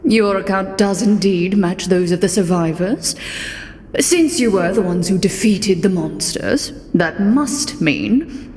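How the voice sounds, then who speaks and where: A woman's voice: mid-range voice, slow, a little mellow, a little hiss or hum, lightly acted. Woman playing a condescending, scheming prime minister, echoing. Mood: sly, accusing. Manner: formal, condescending, insinuating. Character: smooth-tongued scheming male prime minister. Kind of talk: video game fantasy voice acting. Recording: echoey long reverb, music behind.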